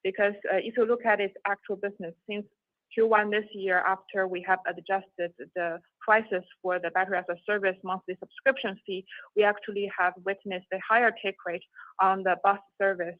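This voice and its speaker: A woman's voice: mid-range voice, flat delivery, brisk, no echo. A woman speaking on a formal business conference call, careful and formal with slight hesitations. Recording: tinny phone line.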